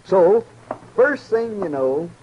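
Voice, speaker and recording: A folksy, boastful frontier printer with a rural Western American drawl and very lively intonation. He is eager and pleased with himself, as in an old radio Western with faint recording noise. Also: high voice, takes it slowly, dull audio, exaggerated voice. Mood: proud, gleeful. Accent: rural American West. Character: gleeful, self-satisfied, folksy small-town newspaper printer. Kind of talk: 1950s Western radio drama acting.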